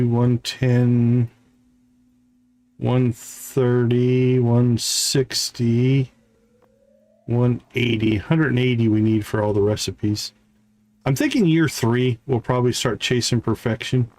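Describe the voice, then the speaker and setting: A man's voice: medium pitch, steady tone, takes it slowly, darkish tone, no room sound. A man hosting a gaming livestream, thinking aloud in casual, chatty commentary as he plays.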